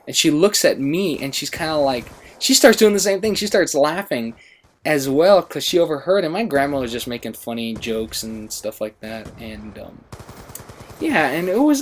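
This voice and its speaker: An American man casually telling a story over gameplay, rambling and often interrupting himself. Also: mid-range voice. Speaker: gaming channel storyteller.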